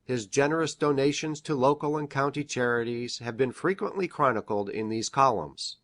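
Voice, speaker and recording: A man playing an earnest country doctor in an audiobook, reading aloud in a formal, deliberate way. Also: mid-range voice, fairly bright tone, lightly acted. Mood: formal, measured. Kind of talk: measured dramatic audiobook reading of formal prose.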